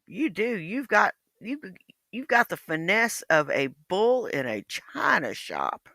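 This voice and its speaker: A woman's voice: medium pitch, big pitch swings, slow pace, vocal fry, sharp tone, dry recording, clean studio sound. A woman in her fifties, blunt, profane and mocking in casual livestream chat. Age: 50s.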